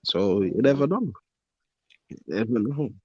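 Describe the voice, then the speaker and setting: A man's voice: mid-range voice, sing-song, a little slow, dark tone, breathy edge, no echo, lo-fi sound. A man chatting casually about football in slangy speech full of conversational tags. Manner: slangy, often tags phrases with "you know what I mean". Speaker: podcast panellist.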